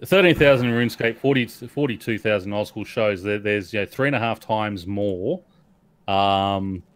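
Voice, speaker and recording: Lowish-voiced man, rambling and agreeable. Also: normal speed, dry recording. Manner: rambling, many fillers and restarts, agreeable. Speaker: podcast co-host. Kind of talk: casual podcast chat.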